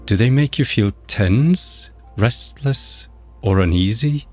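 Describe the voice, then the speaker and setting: A man narrating a guided meditation in a measured, instructional, scripted way. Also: mid-range voice, expressive, a little mellow. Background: background music.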